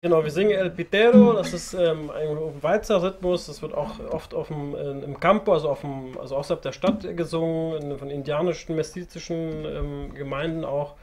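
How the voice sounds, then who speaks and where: A man's voice: fairly high pitch, fairly even intonation, normal speed, hardly any pauses. A man in his thirties explaining a folk song casually, sitting indoors with a guitar playing. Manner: explains casually, then sings. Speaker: musician. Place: indoors on a mat. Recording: loud guitar under the voice. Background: acoustic guitar.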